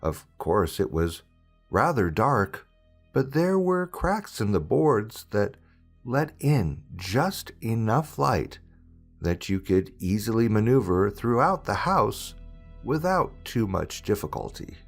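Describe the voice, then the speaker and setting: Slow, low-voiced man narrating scary stories. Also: very animated, creaky, soft background music. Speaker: host and narrator of a scary-story channel. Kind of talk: scripted horror story narration.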